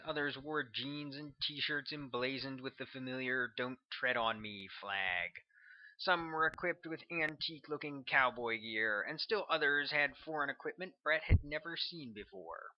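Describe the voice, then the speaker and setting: A man narrates a thriller audiobook in a mid-range voice. He reads scripted prose as the author of the book. The recording is dull and lo-fi. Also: mid-range voice. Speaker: author reading own audiobook.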